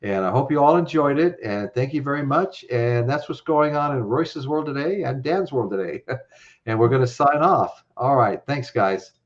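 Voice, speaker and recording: A man's voice: mid-range voice, normal speed, lo-fi sound. A man hosting a casual live-stream chat, rambling with lots of fillers and frequent laughs. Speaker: host.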